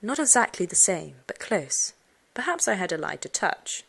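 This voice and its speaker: A woman reading a children's suspense story aloud, expressively, with dramatic hushed moments. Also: fairly low pitch, sing-song, normal speed, creaky, slightly rough. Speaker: audiobook narrator. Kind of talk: dramatic first-person storybook narration for children.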